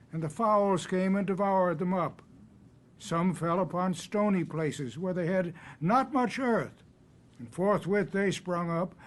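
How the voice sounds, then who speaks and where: An actor playing an earnest, folksy country preacher who quotes scripture in a radio drama. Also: male voice, sits high. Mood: solemn, preaching. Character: earnest country preacher rallying his congregation to help neighbors. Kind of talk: radio drama acting, sermon.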